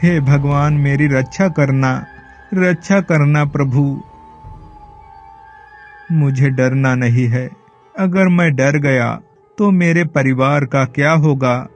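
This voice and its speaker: A man playing a poor, timid farmer and devoted family man in an animated story, speaking earnestly. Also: medium pitch, slow, long pauses, faint music behind. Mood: fearful. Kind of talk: Hindi animated moral story acting.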